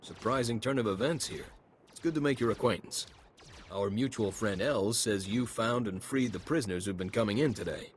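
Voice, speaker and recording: A male actor plays an easygoing camp helper in a sci-fi game. He talks in a low, conversational voice with wry sympathy, over faint background noise. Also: moderate pace, lightly acted. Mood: friendly, wry. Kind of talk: video game voice acting.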